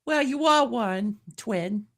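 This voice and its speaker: A man putting on slow, high, motherly little Wendy. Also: lively intonation, fairly bright tone, exaggerated voice. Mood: patient storytelling. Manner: slow, drawn-out, admonishing delivery. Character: Wendy, young girl storyteller, motherly and prim. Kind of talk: dramatic storybook reading.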